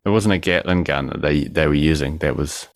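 A man's voice: rumbling low, brisk, gravelly, dry recording, clean studio sound. A man co-hosting a podcast by remote call, joking crudely and swinging between mumbling and exclaiming. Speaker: podcast co-host. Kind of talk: casual podcast banter. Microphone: remote call mic.

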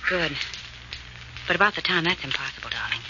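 An actress playing a detective's loyal, playful sweetheart in a 1940s radio drama, full of questions and light teasing, on a very noisy old recording. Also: female voice, sits low, expressive, a little fast, lo-fi sound. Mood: doubtful, curious.

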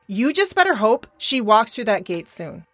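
Fierce protective woman, higher voice, dry sound, soft music under. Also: sing-song, normal speed, dry recording. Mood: angry. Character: fierce, pleading woman defending missing youngsters. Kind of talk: audio drama acting.

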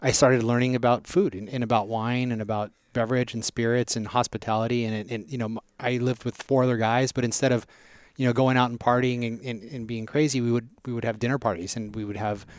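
A man from Southern California raised in Las Vegas, a restaurant group president answering interview questions in a measured way with frequent restarts. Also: mid-range voice, steady tone, no room sound.

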